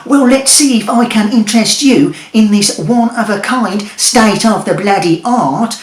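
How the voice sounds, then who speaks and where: A woman voicing a loud, greedy male wizard who swindles his customers, shouting and swearing with cheeky patter. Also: mid-range voice, measured pace, echoey room, voice pushed up, lightly acted. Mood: brash, gleeful.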